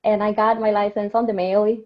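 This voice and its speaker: A woman's voice: mid-range voice, level tone, fairly quick. A woman in her thirties from Peru with a Peruvian Spanish accent, introducing herself as a webinar panelist. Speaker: panelist, lawyer. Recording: dull lo-fi webinar audio.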